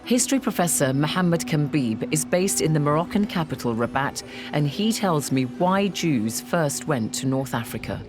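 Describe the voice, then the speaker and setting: Low-voiced woman narrating a documentary. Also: animated, moderate pace, hardly any pauses, over music. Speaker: documentary presenter and interviewer. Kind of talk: scripted documentary narration and interviewing.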